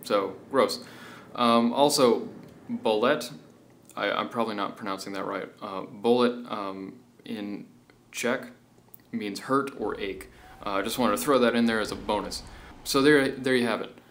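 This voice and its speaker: A man's voice: on the low side, measured pace. A man hosting a casual explanatory commentary, hesitant as he goes and often checking in with the listener. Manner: hesitant, checking in with "right?" and "okay?". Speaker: video essay host. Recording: steady background noise.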